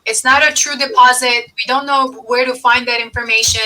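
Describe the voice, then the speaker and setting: A woman's voice: a little high, fairly even intonation, fairly quick, pushed and bright, recorded from a distance, rough recording. A woman hosting a business advice podcast, explaining things emphatically and repeating key points. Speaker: podcast host and accountant.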